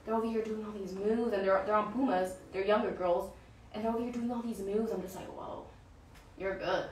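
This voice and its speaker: Brief: young woman, chatty and searching for words, mid-pitched, distant mic in a roomy space. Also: steady tone, brisk, slight background noise. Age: young adult. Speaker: guest, pro soccer player. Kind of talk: casual interview conversation.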